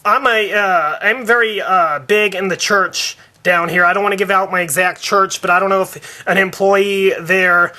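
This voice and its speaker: A man putting on a high-voiced, stammering outraged father. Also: fairly even intonation, sharp tone. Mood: flustered, suspicious. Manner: halting, stammering, repetitive. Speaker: prank caller. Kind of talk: prank phone call, improvised complaint.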